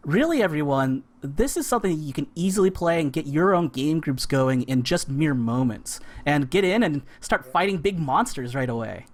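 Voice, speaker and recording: A man's voice: a little high, lively intonation, moderate pace, hardly any pauses. A man hosting a board game stream, chatting casually and with enthusiasm as he plays. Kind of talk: casual chat while playing a board game.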